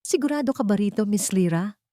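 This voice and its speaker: A woman with a Filipino accent voicing a cautious, discreet male lawyer in a hushed, guarded manner for an audiobook. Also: medium pitch, animated, brisk, no room sound, studio quality, pitched above the performer's own voice, close to an everyday voice. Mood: wary.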